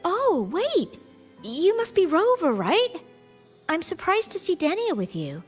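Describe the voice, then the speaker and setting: An actress playing a kind young graduate student in a video game, gently teasing and earnest, with slight hesitation. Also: female voice, extremely high pitch, huge pitch swings, a little slow, faint music behind, heavily acted. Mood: concerned, curious. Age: young adult.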